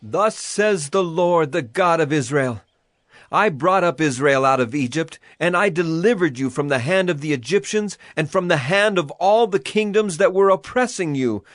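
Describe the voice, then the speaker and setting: A man's voice: on the high side, animated, moderate pace, fairly bright tone, no room sound, studio quality. A man playing a stern, solemn prophet in a dramatized scripture story, declaiming weightily as if to a whole nation. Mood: stern, reproachful.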